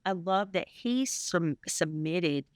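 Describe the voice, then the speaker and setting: A woman's voice: lowish voice, expressive, slow, no echo. A woman interview guest giving casual personal testimony in an earnest, emphatic way.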